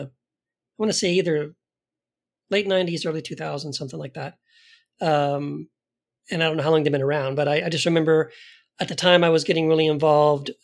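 A middle-aged man hosting a casual interview, talking in a rambling way and circling around his points. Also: fairly high pitch. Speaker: interviewer, host.

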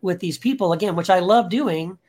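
Earnest man talking in halting restarts. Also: high-pitched, a little mellow, slightly rough. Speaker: podcast guest and author. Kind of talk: casual conversational interview. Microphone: podcast microphone.

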